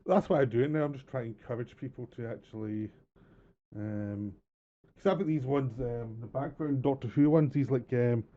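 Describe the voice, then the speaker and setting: A man's voice: mid-range voice, moderate pace. A man with a Scottish accent co-hosting a podcast, joking around and laughing through his lines. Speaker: podcast co-host. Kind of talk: casual podcast chat. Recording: muffled lo-fi audio with reverb.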